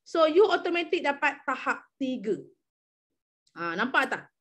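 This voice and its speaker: A woman's voice: sits high, big pitch swings, measured pace, a bit bright. A young adult woman with a Malaysian accent leading an online team briefing, coaxing and repeating key numbers while asking her team to reply. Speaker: MLM team leader presenting an incentive program.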